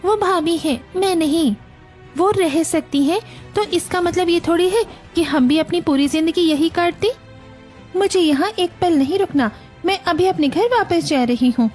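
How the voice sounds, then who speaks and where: A woman's voice: very high voice, moderate pace, darkish tone, soft background music, pitched above the performer's own voice. A woman playing a demanding young newlywed bride in a cartoon, complaining in an indignant, entitled manner. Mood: angry, defiant. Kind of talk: Hindi cartoon family story acting.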